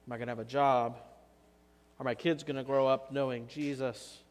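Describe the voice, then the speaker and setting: A man's voice: mid-range voice, flat delivery. Earnest man preaching in a reverberant church. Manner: earnest preaching with slow, emphatic pauses. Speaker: pastor. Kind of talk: sermon. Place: church sanctuary, standing at the altar.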